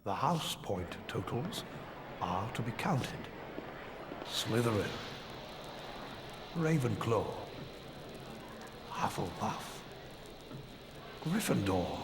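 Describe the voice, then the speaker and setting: An actor playing a solemn old headmaster in a video game, announcing in a grave, ceremonial, creaky voice. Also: male voice, fairly low pitch, sing-song, exaggerated voice. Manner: grave, drawn-out ceremonial delivery. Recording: clearly noisy game audio.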